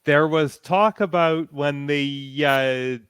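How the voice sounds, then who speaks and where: A man's voice: fairly high pitch, takes it slowly, a bit bright, clean tone, dry recording. A man speaking casually as a panelist and podcaster in a discussion.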